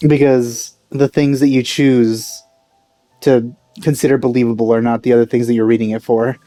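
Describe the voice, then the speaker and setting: A man's voice: medium pitch, level tone, normal speed, mellow, no echo. A man giving casual let's play commentary on a video game. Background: faint game music.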